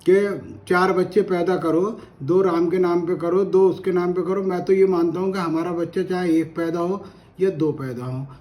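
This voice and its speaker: A man's voice: high voice, steady tone, normal speed, warm tone. A man from India, giving spoken opinion commentary in an earnest, argumentative way and repeating phrases for emphasis. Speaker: commentator on current affairs.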